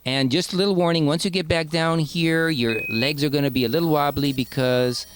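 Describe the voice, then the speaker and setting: A man's voice: a little high, steady tone, a little fast, background noise. A man chatting casually as a comic podcast interviewer.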